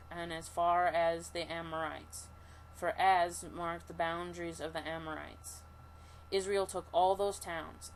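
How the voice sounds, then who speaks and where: A woman with a self-described monotone voice, leading an online scripture study, reading passages aloud and talking them through with halting restarts. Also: fairly low pitch, a little hiss or hum, poor audio. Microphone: computer mic for an online session.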